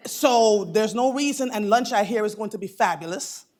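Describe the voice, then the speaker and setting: Woman, fifties, boomy voice, effusive emcee, middle pitch, calls people darling. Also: normal speed, a little mellow. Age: 50s. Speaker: mistress of ceremonies. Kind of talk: conference emcee address.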